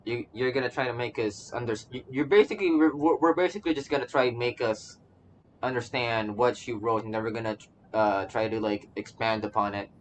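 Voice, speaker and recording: A man's voice: mid-range voice, a little fast, a little hiss or hum. A man streaming a game with casual live commentary, rambling and stammering as he repeats his phrases. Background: game music.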